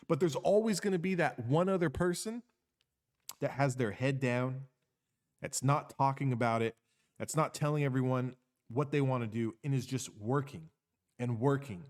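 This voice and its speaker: A man's voice: fairly high pitch, a little mellow. A man giving an unscripted motivational monologue. He talks emphatically, repeats phrases for effect, tags lines with "okay?" and swears casually. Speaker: motivational speaker.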